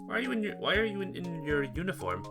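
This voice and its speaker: A man's voice: sits high, very animated, fast talker, vocal fry, music in the background, pitched above the performer's own voice, full character voice. A man voicing an earnest, anxious schoolboy protagonist from a visual novel, questioning and hesitant. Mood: concerned. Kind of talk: visual novel character reading.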